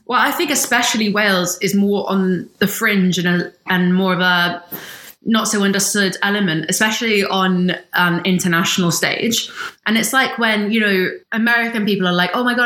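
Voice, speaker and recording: A woman's voice: mid-range voice, steady tone, moderate pace, fairly bright tone, echoey room. A woman from the UK co-hosting a podcast, opinionated and teasing in casual chat. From United Kingdom. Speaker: podcast co-host.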